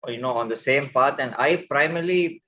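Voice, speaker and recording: A man's voice: mid-range voice, a little fast, sharp tone, a little hiss or hum. A polite, earnest man speaks as a guest on a panel held over a video call. His audio comes through a bit muffled and dull.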